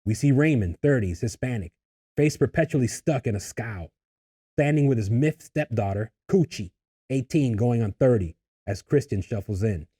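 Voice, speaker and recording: A man reading screenplay stage directions in a husky voice, as scripted narration at a table read. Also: mid-range voice, expressive, normal speed, warm tone.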